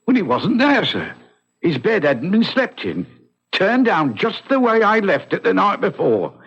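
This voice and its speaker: An actor playing a deferential, alarmed manservant in an old-time radio drama, with a stammering manner. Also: male voice, high-pitched, huge pitch swings, unhurried, gravelly, a bit bright, breathy edge, exaggerated voice. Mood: shaken. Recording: muffled vintage radio broadcast.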